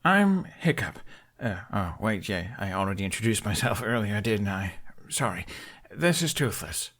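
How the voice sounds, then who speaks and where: A man's voice: lowish voice, sing-song, normal speed, a bit bright, full character voice. A man voicing a nervous, well-meaning boy in an audiobook, hesitant and apologetic as he stammers along. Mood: nervous, friendly. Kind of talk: audiobook character voice.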